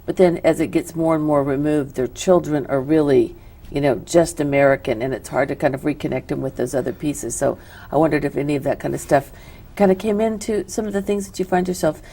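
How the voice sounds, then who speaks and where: A woman's voice: sits low, a little fast, creaky, warm tone. A middle-aged woman hosting a local arts interview show, asking long, rambling questions full of "you know". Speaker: TV show host. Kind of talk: casual interview. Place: local cable TV studio.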